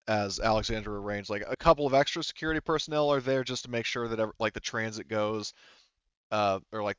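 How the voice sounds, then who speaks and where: Game master: man, low smooth voice, halting casual improvised narration, muffled dry mic. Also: fairly bright tone, no echo. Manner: halting, informal, swears casually.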